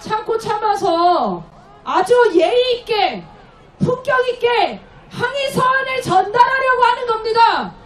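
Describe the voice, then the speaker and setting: A woman leading a protest rally, giving an impassioned, exhorting speech and shouting out chants. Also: very high-pitched, big pitch swings, a little slow. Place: outdoor street rally in a city. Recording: echoey, distant outdoor loudspeaker, faint crowd noise. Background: crowd and street noise.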